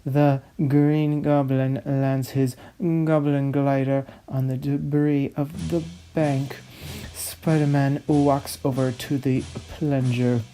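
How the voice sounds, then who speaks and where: A man's voice: mid-range voice, level tone, takes it slowly, dark tone, faint music behind, rough recording. A man playing a pompous, fussy narrator who reads out a script theatrically. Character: snobby, fussy male narrator, a pompous connoisseur. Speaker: narrator host.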